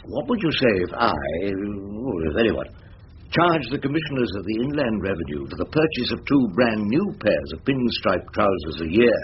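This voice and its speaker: A wry old English barrister in a radio play, musing theatrically with wide swings of pitch. He is crafty and rhetorical. The recording is muffled, with some background noise. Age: older. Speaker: actor in radio drama. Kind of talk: British radio drama acting.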